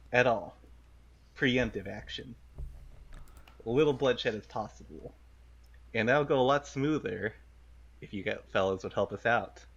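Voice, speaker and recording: Man playing a gravelly low-voiced tough adventurer. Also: a bit bright, highs cut off, heavily acted. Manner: terse, streetwise, persuasive. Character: blunt, cocky tough-guy adventurer offering armed help. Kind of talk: improvised tabletop roleplay.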